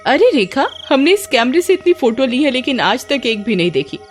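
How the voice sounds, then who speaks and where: A woman playing a teasing, easily frightened young village woman in animated horror story dialogue, exclamatory and dramatic. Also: high-pitched, normal speed, soft background music, pitched above the performer's own voice. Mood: curious.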